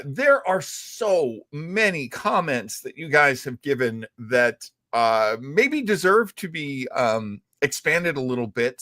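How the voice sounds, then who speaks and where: A man hosting a talk show, explaining things in a halting, thoughtful, conversational way. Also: mid-range voice, bright, forceful tone, no echo. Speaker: host of a retro radio show.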